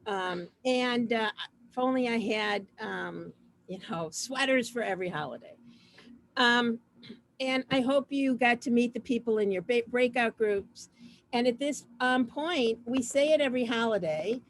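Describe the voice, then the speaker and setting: A woman casually hosting an online community gathering over a video call, chatting informally in a halting way with trailing asides. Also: fairly high pitch. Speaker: host of an online community program. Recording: noisy video call audio.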